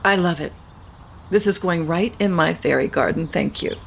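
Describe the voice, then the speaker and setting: A woman's voice: on the low side, moderate pace, gravelly, slight background noise. An actress playing a genteel, protective middle-aged mother who holds grudges, in an audio soap opera. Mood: gracious.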